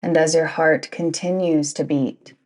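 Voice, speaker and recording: A woman guiding a yoga nidra meditation in a soothing, deliberate manner, with long pauses between phrases. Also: low-pitched, fairly even intonation, darkish tone, low-quality recording. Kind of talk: guided meditation narration.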